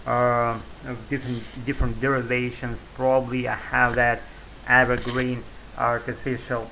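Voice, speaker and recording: A man's voice: medium pitch, flat delivery, moderate pace, pushed and bright, slight background noise, rough recording. A man in his forties who is a native Russian speaker, talking in a rambling casual vlog to his webcam at home, full of fillers and self-corrections, with a sore throat. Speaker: vlogger. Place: small room at home, indoors. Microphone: webcam at desk.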